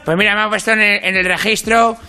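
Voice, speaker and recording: A man's voice: high voice, fairly even intonation, fast, sharp tone, soft background music. A man voicing a chatty older comic receptionist in heaven in a radio sketch, wry and mock-grumbling, stumbling over repeated words. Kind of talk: radio comedy impression sketch.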